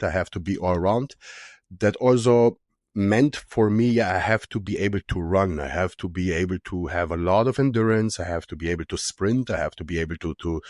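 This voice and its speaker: A man's voice: lowish voice, a little mellow, no echo, clean studio sound. A man in his thirties with an Austrian German accent, raised in Austria after being born in Romania, answering interview questions casually with many fillers and restarts. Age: 30s. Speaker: guest, strongman athlete.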